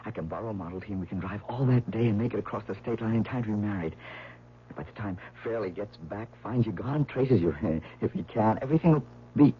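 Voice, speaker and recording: An actor playing an earnest, nervous young painter in love in a 1970s radio drama, with a hesitant delivery. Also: male voice, on the low side, expressive, fast talker, natural voice. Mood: hushed eager. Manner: hesitant, stammering. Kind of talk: 1970s radio drama acting with first-person narration. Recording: tinny, noisy old radio broadcast.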